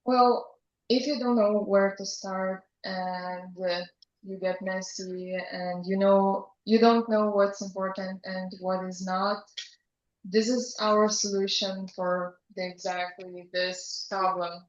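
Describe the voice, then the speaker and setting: A woman's voice: mid-range voice, flat delivery, slow pace. A young woman from Lithuania, a student presenter speaking straight to the audience. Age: young adult. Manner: addresses the audience directly. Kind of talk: conference presentation. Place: conference hall. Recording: echoey hall, muffled mic.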